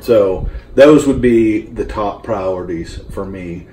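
Low-voiced man, practical and steady. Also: slow pace. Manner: steady, practical, hesitant with fillers. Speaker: interview guest, survival gear expert. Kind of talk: casual explanatory chat. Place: home kitchen, indoors. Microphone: far camera. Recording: roomy kitchen sound from a distant camera, faint hum.

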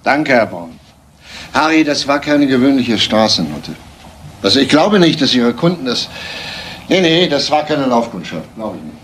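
An actor playing a persistent, composed homicide detective in a German crime radio play, matter-of-fact and clipped. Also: male voice, mid-range voice, very animated, moderate pace, fairly bright tone, a little hiss or hum. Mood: confident.

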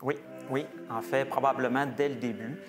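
A man in his forties, a museum research director, explaining the history of a chapel's stained glass in a measured, hesitant way with frequent fillers. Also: medium pitch, steady tone, over music. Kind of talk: explanatory heritage talk. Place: small wood-paneled chapel, indoors.

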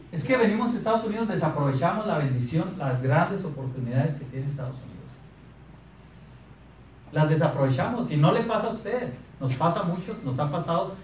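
Man, Mexican accent, thirties, motivational speaker, handheld mic, high-pitched, distant echoey room. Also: a little hiss or hum. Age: 30s. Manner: animated, asks the audience questions. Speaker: sales speaker. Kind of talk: motivational talk. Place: meeting room in front of a projector screen. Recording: echoey room recorded from afar.

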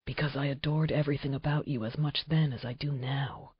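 Nate, a hushed, deep shapeshifter lover voiced by a woman. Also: moderate pace, no echo. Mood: tender. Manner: low hushed passionate delivery. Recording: dry, slightly dull audiobook recording.

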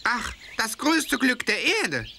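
Kindly philosopher mole for kids' cartoon: bright, sharp tone, musing delivery, birdsong behind. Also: male voice, very high voice, sing-song, slow, gravelly, slight background noise, exaggerated voice. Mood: musing, enchanted. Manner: musing, gently explanatory. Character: friendly, philosophical blind old male mole. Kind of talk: children's animated audio story acting.

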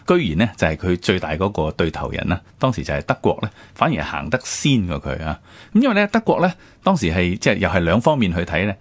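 Male radio presenter, Hong Kong Cantonese accent. Low register, lively inflection, conversational explainer of history. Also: fast, dry recording. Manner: explanatory, with rhetorical questions and frequent sentence particles. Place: radio studio.

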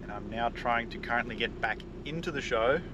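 A man's voice: medium pitch, fairly quick. A man chatting casually as he hosts an on-location vlog outdoors. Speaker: vlog host. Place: outdoors at a car show loading area. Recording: very noisy outdoor recording with vehicle sounds.

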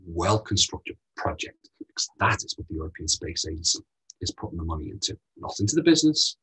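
A man in his thirties presenting a funding webinar over slides from a small room on a video call, direct and brisk, firing off rhetorical questions with frequent ums. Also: deep voice, big pitch swings, fairly bright tone, slightly rough, rough recording.

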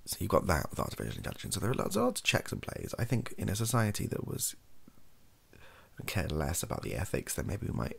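A British man speaks softly in a very low, husky voice full of heavy vocal fry. His pitch moves around freely as he rambles and hesitates. The delivery is an improvised, self-deprecating ASMR chat. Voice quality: soft, gravelly, husky. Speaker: video host, ASMR creator. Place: small bedroom office, indoors.

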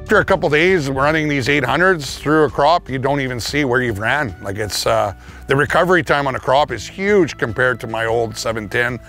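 A man's voice: medium pitch, brisk, fairly bright tone, faint music behind. A man, a farmer talking casually in a testimonial interview, recorded outdoors on a farm yard. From Canada. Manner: plain-spoken, casual, with restarts.

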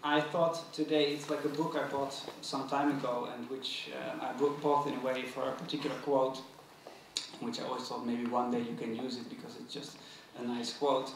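A man in his thirties with a Dutch accent gives an academic response, pausing often and restarting his phrases. His voice rings through a large auditorium, and the stand mic picks him up from some distance. A faint hum of the room sits behind him. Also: mid-range voice, level tone. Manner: thoughtful, hesitant, many fillers and restarts. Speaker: curator responding to a lecture. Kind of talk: academic talk. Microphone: stand mic at table. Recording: very echoey hall, mic from a distance.

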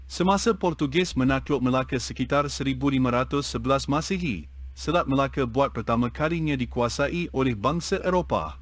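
Male reader, mid pitch, measured textbook reading, dry sound. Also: no echo. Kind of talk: reading a text aloud.

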